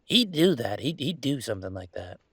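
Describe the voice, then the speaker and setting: A man playing an upset young werewolf venting about his father in an intimate audio roleplay, speaking in a halting, emotional, rambling way. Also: medium pitch, sing-song, slightly rough, no echo. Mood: weary, hurt.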